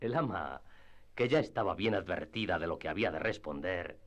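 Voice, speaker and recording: Low-voiced male storyteller. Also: animated, fairly quick, a bit bright. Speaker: narrator. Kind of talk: scripted literary narration for radio drama.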